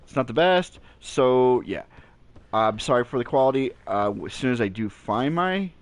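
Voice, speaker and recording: A man with a naturally deep voice giving relaxed, rambling gameplay commentary with many fillers, recorded at home on a cheap gaming headset mic. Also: moderate pace, no room sound. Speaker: gaming channel host. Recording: low-quality headset mic, faint hiss.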